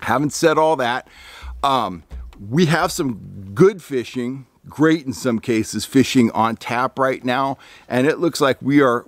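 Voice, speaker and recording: A man's voice: medium pitch, no echo. Host type, man in his 50s, casual fishing-guide report, dry close sound, filler-heavy delivery, outdoors on a deck. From California. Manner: rambling, frequent ums and restarts, lively on recommendations. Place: outdoor deck among pine trees.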